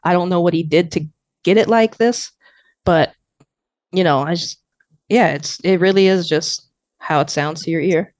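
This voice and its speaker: A man in his twenties from Arizona, a guest producer and emcee with a fairly high voice, chatting casually and gratefully on a podcast. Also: moderate pace, pauses often, no echo. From Arizona, USA. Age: 20s. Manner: laid-back, rambling, grateful.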